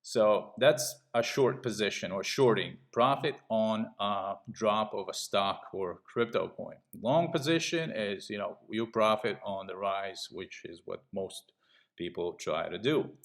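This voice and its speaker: Low-voiced man from Latvia pitching trades. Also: unhurried. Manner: pushy, repeats "okay?". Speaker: trading channel host. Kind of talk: casual sales pitch over charts.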